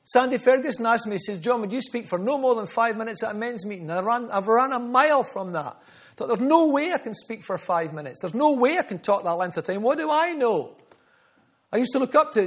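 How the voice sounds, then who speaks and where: High-voiced preacher. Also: male voice, slightly rough. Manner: earnest, storytelling, repeats phrases for emphasis. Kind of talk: sermon.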